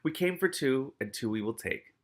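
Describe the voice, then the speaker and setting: A man's voice: medium pitch, animated, moderate pace, pitched above the performer's own voice, lightly acted. A man playing a tall, solemn, dryly puzzled skeletal reaper in a storybook reading, with formal, matter-of-fact speech.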